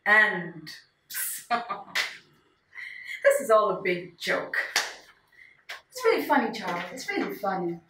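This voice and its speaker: A woman performs as a wounded, theatrical wife clinging to her marriage in a solo stage piece. She speaks slowly and emphatically with lively swoops, turning from wry jokes to pleading. The recording is roomy and a little far from the mic. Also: mid-range voice. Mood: wistful, wounded. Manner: dramatic, emphatic, swinging between joking and pleading. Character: desperate, quirky wife refusing a divorce, wounded and theatrical. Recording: roomy, distant mic, faint noise.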